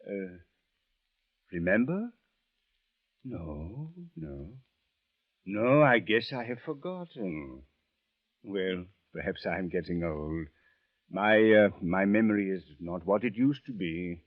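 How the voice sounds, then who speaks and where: A man's voice: sits low, animated, slow pace, long pauses, exaggerated voice. A man playing a courteous, precise and stiffly formal police official in an old radio drama. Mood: sly, hesitant. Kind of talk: 1940s-50s radio drama acting. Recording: muffled old-time radio recording.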